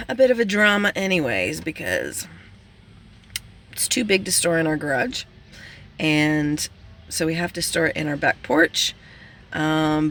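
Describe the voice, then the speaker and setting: A woman's voice: lowish voice, fairly bright tone. A woman talking casually and informally, explaining things as she goes in a vlog style. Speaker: vlogger documenting a DIY school bus conversion. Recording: clear ambient background noise.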